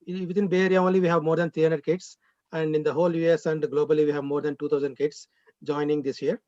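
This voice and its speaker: A man's voice: a little high, flat delivery, fast talker, darkish tone, no echo. Dry, muffled man on a call. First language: Telugu. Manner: hesitant, many fillers. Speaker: language school director. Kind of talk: event speech on a video call. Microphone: video call. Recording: dull, dry video call audio.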